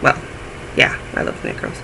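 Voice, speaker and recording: A man's voice: moderate pace, bright, forceful tone, slight background noise, rough recording. A man hosting a knitting podcast, chatting in a rambling, self-deprecating way and sounding a little phlegmy. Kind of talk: casual chat.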